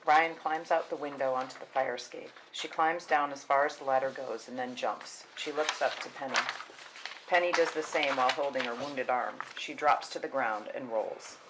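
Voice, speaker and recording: A woman's voice: rumbling low, steady tone, normal speed, noisy background. A woman reading scripted stage directions at a table read.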